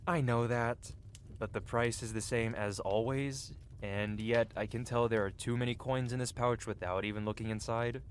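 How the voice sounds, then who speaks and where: A man's voice: medium pitch, steady tone, normal speed, a little hiss or hum, natural voice. A man playing a cocky, flirty yet tender-hearted medieval blacksmith in an intimate, theatrical ASMR fantasy roleplay. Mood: playful teasing. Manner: teasing, theatrical, with soft hesitations. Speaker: audio roleplay performer. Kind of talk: ASMR roleplay acting, intimate one-sided dialogue.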